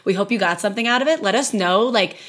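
A young woman co-hosting a podcast in casual chat, hoarse from losing her voice, enthusiastic and often asking "right?". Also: medium pitch, quick pace, fairly bright tone. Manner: enthusiastic, says "right?" a lot. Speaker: podcast co-host.

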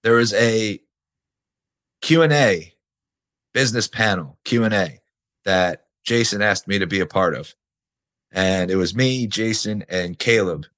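A man, hoarse and nearly losing his voice, hosting a casual solo podcast and stressing his points with the odd swear. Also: fairly low pitch, measured pace, pauses often, a bit bright. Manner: casual, emphatic, swears now and then. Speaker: podcast host.

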